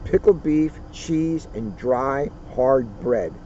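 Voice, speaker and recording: Male read-aloud storyteller, mellow tone, dramatic deliberate phrasing, outdoor recording with faint vehicle noise. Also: mid-range voice, expressive, highs cut off. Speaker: police officer reading a children's book. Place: outdoors at a turkey farm.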